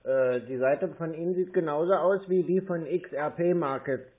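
A man's voice: a little high, moderate pace. A man chatting casually on the phone in a matter-of-fact, slightly hesitant, colloquial way. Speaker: scam-baiting call recipient posing as customer. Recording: tinny band-limited phone call.

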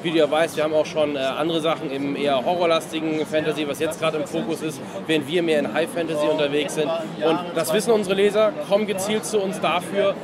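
A young man with a fairly high voice gives casual interview answers, sometimes searching for words. He stands at a fair booth in a hall with a very loud crowd din all around. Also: fairly even intonation, fast, few pauses. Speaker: publisher, interview guest.

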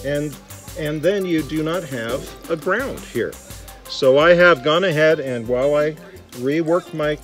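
A middle-aged American man gives a casual, hands-on explanation with an ordinary mid-range voice. He thinks aloud in a chatty way, recorded on a phone held close, with some music around him. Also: mid-range voice. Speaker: vlogger demonstrating Jeep radio gear. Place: outdoors by a vehicle and inside a truck cab.

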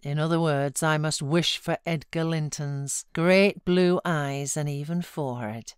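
A woman's voice: sits low, fairly even intonation, measured pace, smooth, dry recording, clean studio sound. A British woman voicing a sulky, proud, self-pitying boy in a storybook reading. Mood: sullen, dejected.

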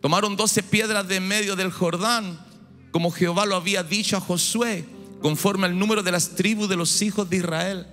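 A fervent pastor in his forties, a man from Chile, preaches into a handheld mic with a high voice. His tone is bright and forceful, rising to shouts. The sound carries a long hall reverb, with soft music underneath. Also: fairly even intonation, moderate pace. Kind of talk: sermon. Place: large church stage before a big congregation. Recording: echoey hall with music behind.

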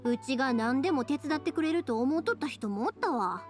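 Anime game role: kindhearted, expressive young Kansai woman, high animated voice, music behind. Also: brisk. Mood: chatty. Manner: bubbly, familiar, expressive. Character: cheerful, kindhearted, chatty young woman fireworks maker.

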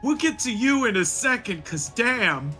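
Slow coaxing ghost woman with a high lilting voice, put on by a man, tabletop game. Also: very animated, sharp tone, soft background music, full character voice. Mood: brisk. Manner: slow, drawn-out, falsely soothing. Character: eerie female ghost, prying and coaxing.

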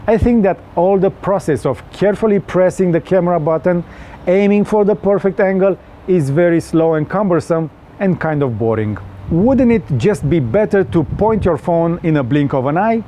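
A man's voice: high-pitched, expressive, moderate pace, dark tone, slight background noise. A young man with a Romanian accent, giving an earnest, slightly stilted scripted review of a phone with small jokes. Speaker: tech reviewer.

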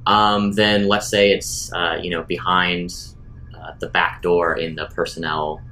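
Deep, bright-voiced man playing a tabletop game. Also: little pitch movement, a little slow, slight background noise. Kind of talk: casual improvised game talk.

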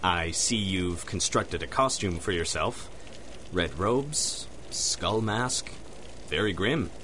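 An actor playing an arrogant, condescending sanitarium doctor in a radio drama, pompous and clinical. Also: male voice, low-pitched, animated, takes it slowly, bright, forceful tone, slight background noise, full character voice. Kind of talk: 1930s-style radio drama acting.

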